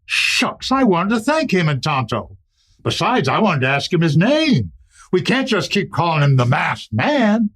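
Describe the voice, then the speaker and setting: A man's voice: fairly high pitch, sing-song, a little slow, a bit bright, breathy edge, full character voice. A man playing an earnest, folksy old West townsman in a radio western, speaking emphatically. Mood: regretful.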